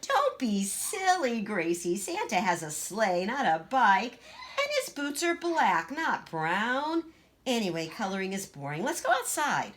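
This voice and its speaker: A woman's voice: mid-range voice, sing-song, a little slow, fairly bright tone, slight background noise, voice pushed up. A woman voicing a bossy, boastful, chatty little girl in a children's storybook, with an emphatic, gushing know-it-all manner.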